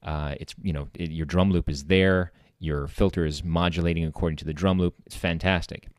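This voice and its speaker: A man hosting a casual software tutorial, talking informally and thinking aloud as he demonstrates. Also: steady tone, fairly quick, smooth, no room sound, studio quality. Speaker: tutorial host. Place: screen-recording voice-over. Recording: loud electronic bass music behind. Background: dubstep bass and drum loops.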